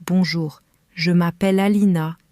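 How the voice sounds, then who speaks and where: Female reader for beginner lessons, calm and careful, flat even delivery, dry close sound. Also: on the low side, a little slow, no room sound. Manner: calm, careful, clearly spaced phrases. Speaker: French reader for learners. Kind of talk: slow scripted reading for beginners.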